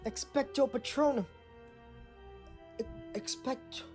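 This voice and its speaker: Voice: high voice, unhurried, darkish tone, soft background music. A performer playing an earnest, determined teenage boy wizard in a fan audio drama. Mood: straining, casting.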